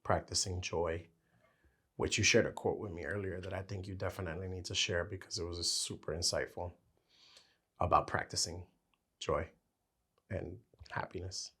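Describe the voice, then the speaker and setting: A man co-hosting a podcast in casual chat, losing his voice and thinking out loud as he talks. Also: low-pitched, fairly even intonation, moderate pace, pauses often, husky. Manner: talks his thoughts out as he goes. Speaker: podcast co-host.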